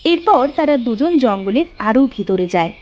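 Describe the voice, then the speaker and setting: A woman's voice: on the high side, very animated, moderate pace, a little mellow, muffled recording, slight background noise. A woman narrating a scripted fairy tale for children.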